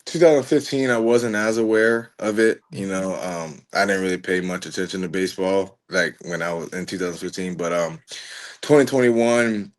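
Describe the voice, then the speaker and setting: A young man in his twenties, a college pitcher, talking casually as a podcast guest and filling his answers with "you know". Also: moderate pace. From Richmond, Virginia. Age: 20s. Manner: relaxed, rambling, many "you know" fillers. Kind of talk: casual interview chat.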